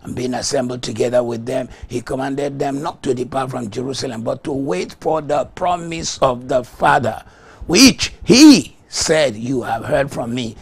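Middle-aged man, Yoruba native, pastor, fervent sermon, rhythmic tongues, gravelly voice, husky tone, lapel mic, studio. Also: medium pitch, lively intonation. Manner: fervent, rhythmic bursts of rapid tongues between teaching. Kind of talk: televised morning devotional sermon with tongues. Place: TV studio set.

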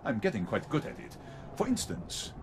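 An actor playing a smug, murderous middle-aged police commissioner in a sci-fi audio drama, unctuous and menacing. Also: male voice, mid-range voice, wildly swooping pitch, normal speed, music in the background. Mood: smug, gloating. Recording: video game audio, loud noisy background.

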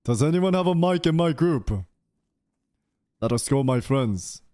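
A young man runs a gaming stream from his home setup, teasing his group in a playful, jumpy way. His pitch swings widely while he takes his time with his words. He sits close to a studio mic on a boom arm. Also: lively intonation, a little slow, dark tone, no room sound. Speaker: streamer leading a game group. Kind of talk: casual gaming voice chat. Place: indoor home streaming room.

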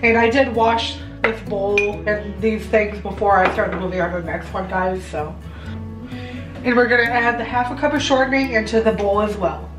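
A woman's voice: mid-range voice, moderate pace, music in the background. A young adult American woman talking through a recipe in a chatty, step-by-step home tutorial style. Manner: chatty, instructive. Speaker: home baking vlogger. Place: home kitchen, indoors. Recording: roomy kitchen echo.

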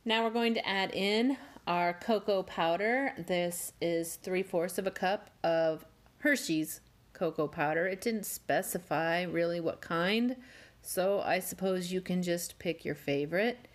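A woman hosting a home cooking channel, walking through a cake recipe step by step with gently wry asides. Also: lowish voice, unhurried. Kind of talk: calm step-by-step baking instruction. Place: home kitchen.

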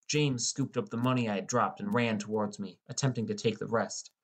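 A man's voice: on the low side, steady tone, fairly quick. A man reading scripted first-person story narration as an audiobook narrator.

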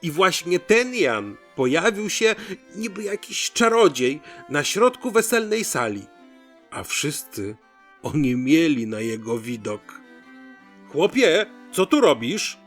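A man narrating a folk tale as host, in an expressive, theatrical storytelling style. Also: on the high side, takes it slowly, soft background music.

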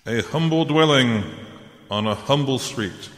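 An actor playing a jovial, commanding giant spirit in a radio play, with a grand, declamatory delivery. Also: male voice, medium pitch, unhurried, a bit bright, close to an everyday voice. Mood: solemn. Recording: echoey hall-like reverb on voice.

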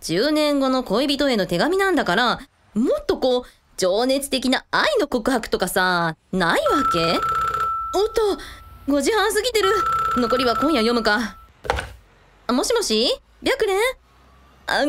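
Actress doing a lively wry young woman, high voice that swings around, expressive radio drama style. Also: unhurried, slight background noise, heavily acted. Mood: flustered. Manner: expressive, muttering to herself. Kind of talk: Japanese radio drama acting.

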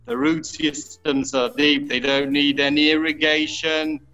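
A man in his thirties from Israel, a winemaker explaining his work informally, pausing and repeating words as he goes. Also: on the high side, animated, vocal fry, pushed and bright. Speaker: winemaker, guest. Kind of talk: informal virtual tour explanation. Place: underground stone wine cave. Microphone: phone or laptop at arm's length. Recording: dull lo-fi video-call audio, music behind.

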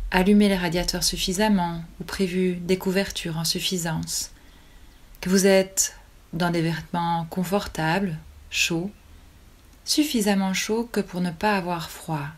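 Female meditation guide: soothing, very unhurried delivery in short phrases, on the lower side. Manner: calm, soothing, with long pauses between short phrases. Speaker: yoga nidra teacher.

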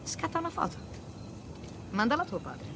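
A woman's voice: sits high, wildly swooping pitch, normal speed, vocal fry, noisy background, full character voice. An actress playing a tough, guarded mercenary in her thirties, blunt and sardonic, in naturalistic video game dialogue. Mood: brisk.

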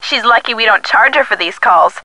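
A woman voicing a sardonic, quick-witted young female sidekick, wry and teasing, in a video game. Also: a little high, pushed and bright, no echo, low-quality recording. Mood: sarcastic. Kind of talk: video game voice acting, phone dialogue.

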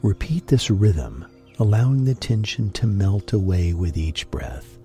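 A man guiding a sleep meditation in a gentle, deliberate, breathy voice with long pauses. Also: low-pitched, animated. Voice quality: husky, breathy. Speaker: meditation guide and host. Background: music.

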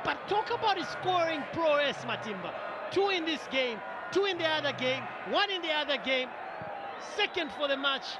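A man's voice: very high-pitched, lively intonation, normal speed, heavy background noise. A man giving emphatic analytical color commentary on a football match. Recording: broadcast commentary over loud stadium crowd.

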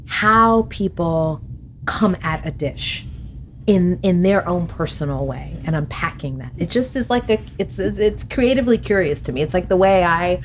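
A woman's voice: fairly low pitch, slight background noise. A middle-aged woman, a podcast guest and author, talking reflectively in a studio interview, gesturing as she speaks and laughing often. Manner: thoughtful, animated hand gestures, laughs often. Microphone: studio mic on boom arm.

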